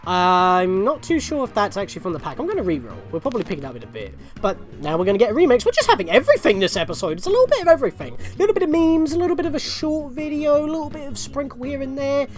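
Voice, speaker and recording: A man's voice: very high-pitched, sing-song, a little fast, faint music behind. A man hosting a gaming video with casual, rambling let's play commentary and chatty asides.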